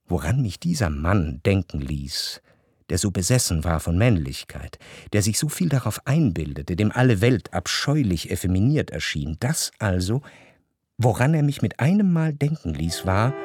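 Reflective radio-play narrator, lowish voice, dry studio sound, measured, deliberate, refined, observant. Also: male voice, darkish tone, slightly rough, no echo, full character voice. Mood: reflective, intent. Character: reflective male first-person narrator, observant, refined society memoirist.

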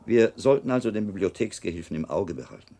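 A calm, analytical monk investigator in a radio play. His voice is low, dark and gravelly, that of a shrewd mentor thinking aloud. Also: fast. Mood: pensive, probing. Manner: deliberating, analytical. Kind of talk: radio drama acting.

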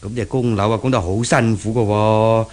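A man's voice: fairly low pitch, steady tone, normal speed, darkish tone, lightly acted. An actor playing a cautious, nagging older shopkeeper father who speaks hesitantly in a 1970s Cantonese radio drama, with a Hong Kong accent. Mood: resigned, worried.

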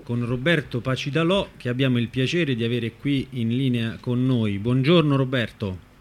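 A man's voice: medium pitch, normal speed. A man hosting a casual radio interview, speaking hesitantly. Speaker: radio host. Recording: noticeable background noise.

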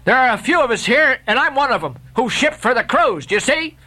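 Male reader doing a defiant mutinous sailor, raised voice, lively and bright, storybook reading. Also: very high-pitched, brisk, pitched above the performer's own voice, exaggerated voice. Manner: forceful, raised defiant speech. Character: defiant sailor ringleader, man, fierce.

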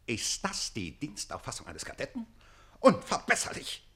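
An actor playing an imperious, jealous, hot-tempered count in a German radio play, commanding and blustering. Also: male voice, medium pitch, huge pitch swings, normal speed, vocal fry, bright, forceful tone, breathy edge, exaggerated voice. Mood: outraged.

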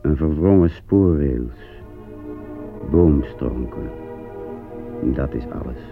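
Solemn radio-play narrator, pensive and unhurried, very low voice, music underneath. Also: male voice, vocal fry, full character voice. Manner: grave, measured, pensive. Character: grave male narrator recalling a war front. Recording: tinny old radio play recording, music behind.

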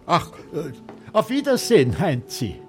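An actor with an Austrian accent playing a confused, stubborn old film director who speaks haltingly in a radio play. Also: male voice, a little high, huge pitch swings, soft background music. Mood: disoriented. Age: older. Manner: hesitant, halting, repeats himself. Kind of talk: radio drama acting.

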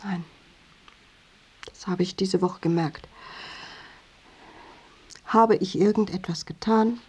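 An actress in an intimate radio drama playing a patient, lonely wife, speaking softly in a breathy half-whisper with gentle persistence. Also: female voice, on the low side, slow pace, full character voice. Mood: gently reproachful. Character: patient, lonely wife seeking her husband's affection.

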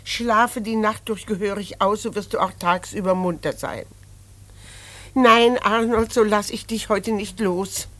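A worried mother of a grown son in a radio drama. Her middle-aged voice sits at an ordinary pitch and keeps pressing, half pleading and half reproachful. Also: unhurried, fairly bright tone. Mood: worried, insistent. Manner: pleading, scolding, insistent.

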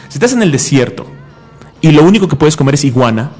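A young man in his mid twenties with a slightly high voice, debating like a fan on a comics show. He argues with emphasis, repeats his points and pushes over interruptions. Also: sing-song, fast, a little mellow, faint music behind. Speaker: comic book show host. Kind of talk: heated casual debate about comics.

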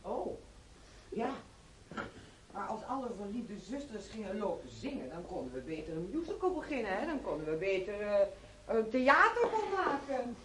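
An actress playing a stern, no-nonsense female head nurse in a radio drama, brisk and reproving. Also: mid-range voice, sing-song, a bit bright, a little hiss or hum. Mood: dry disapproving.

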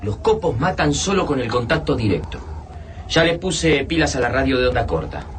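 An actor playing a practical, commanding survivor trapped at home in a radio drama, brisk and directive. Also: male voice, mid-range voice, sing-song, far from the mic, a little hiss or hum, close to an everyday voice. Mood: brisk, tense.

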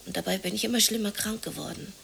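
Give the voice of a worn-down, jobless woman. She sits at a middle pitch and speaks colloquially and plaintively, as if confiding. She is bitter and anxious underneath. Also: steady tone, quick pace, vocal fry, a bit bright, slight background noise. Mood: indignant, complaining. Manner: colloquial, confiding, plaintive, sometimes near whispering. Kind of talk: 1980s German radio drama acting.

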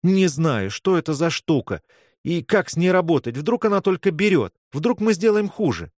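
A male audiobook reader puts on a fiery young woman medic under great stress. She exclaims in agitation, her pitch lifted well above the reader's own, with hardly any room sound. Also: big pitch swings, normal speed, darkish tone, no room sound, clean studio sound. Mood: anxious.

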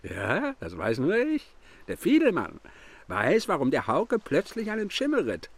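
A man in a German radio play acting a sly, boastful village fiddler who trades horses and tells halting tales. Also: a little high, wildly swooping pitch, creaky, dry recording, heavily acted. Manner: halting, boastful, mock-servile.

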